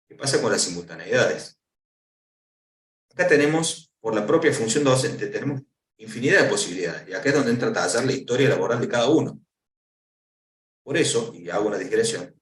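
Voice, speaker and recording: A man with an Argentine Spanish accent giving a conference lecture, explaining step by step and posing questions to answer himself. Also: lowish voice. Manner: didactic, asks and answers own questions. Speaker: lecturer on pension law. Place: lecture hall. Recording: echoey hall, voice from far off.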